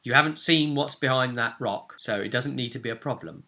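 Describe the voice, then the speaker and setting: A man voicing a young female elf assassin in a tabletop game, firm and measured, giving polite warnings. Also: mid-range voice, gravelly, pushed and bright. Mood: calm warning.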